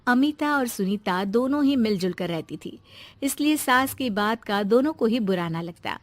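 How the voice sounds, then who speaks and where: A woman's voice: on the high side, animated, moderate pace, no echo. A woman narrating a scripted moral story as its storyteller.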